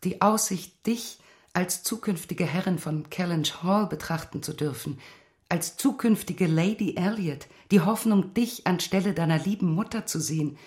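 A woman playing a dignified, affectionate older lady in a literary radio play, advising earnestly in measured, formal words. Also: fairly low pitch, darkish tone, natural voice. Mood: earnest, persuasive.

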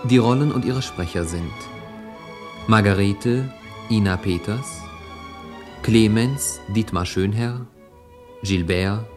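A man's voice: on the low side, takes it slowly, long pauses. A man formally announcing the cast of a radio play, reading from a script. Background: violin music.

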